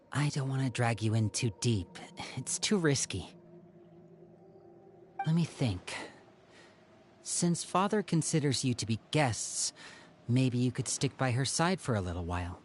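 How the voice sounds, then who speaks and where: A man's voice: mid-range voice, very animated, normal speed, slight background noise, close to an everyday voice. A man voice acting a theatrical young magician and protective elder brother in a video game, speaking in a coaxing way. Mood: serious, protective.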